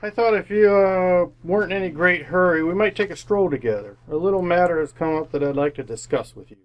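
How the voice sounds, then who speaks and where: Casting: male actor as older business bigwig, fairly high voice, pompous and avuncular, hems politely, vintage radio comedy. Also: fairly quick, dull audio, close to an everyday voice. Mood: genial, pompous. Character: genial, pompous older businessman, patronizing and a bit sheepish. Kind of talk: re-created 1950s radio sitcom acting.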